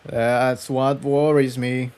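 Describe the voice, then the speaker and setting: A man voicing a thoughtful, wary male unicorn adventurer in a story reading, serious and measured. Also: mid-range voice, little pitch movement, a little mellow, clean tone, close to an everyday voice. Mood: serious, uneasy.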